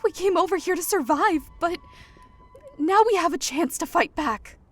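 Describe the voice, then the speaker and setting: Woman acting as short refugee woman, very high voice, wounded, resolute, audio drama, music underneath. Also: lively intonation, normal speed. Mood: impassioned, pained.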